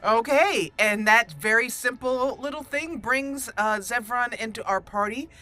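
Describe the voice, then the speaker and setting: A woman hosting a roleplay let's play, voicing dialogue deliberately and theatrically and adding her own commentary. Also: fairly high pitch, expressive, sharp tone, faint music behind. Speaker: gaming channel host.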